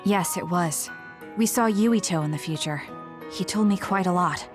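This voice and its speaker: An actress playing an earnest, composed young psychic soldier in a video game, speaking in a measured, serious way. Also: female voice, lowish voice, music in the background, close to an everyday voice. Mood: grave, explanatory. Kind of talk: English video game dub acting.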